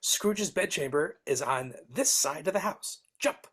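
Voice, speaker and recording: Self-assured storyteller for a live reading woman performer, deep register, dryly exasperated with a sidekick. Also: animated, unhurried, slightly rough, full character voice. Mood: exasperated, urging.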